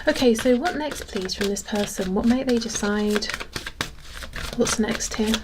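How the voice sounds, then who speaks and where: A woman's voice: a little high, unhurried, very noisy. A woman giving a casual tarot reading, thinking aloud in a conversational way. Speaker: tarot reader.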